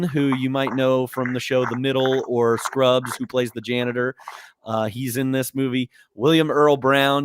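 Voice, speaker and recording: A slightly hoarse man hosting a casual movie podcast, rambling easily with lots of fillers and restarts. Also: mid-range voice, noisy background. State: a little hoarse. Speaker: podcast host.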